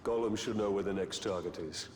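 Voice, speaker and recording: A grim male ranger played by an actor in a fantasy video game. His voice is low, and he speaks tersely and solemnly, set on his purpose, with faint ambience behind him. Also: fairly quick, background noise, lightly acted. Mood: grim, resolute.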